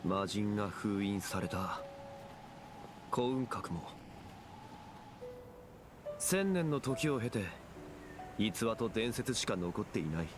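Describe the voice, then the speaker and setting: Ancient warrior, composed and grave, deeper register, game cutscene delivery over prominent music. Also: male voice, big pitch swings, moderate pace, pauses often. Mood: solemn. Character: solemn, composed ancient warrior man recalling old wars. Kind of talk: dramatic Japanese video game voice acting.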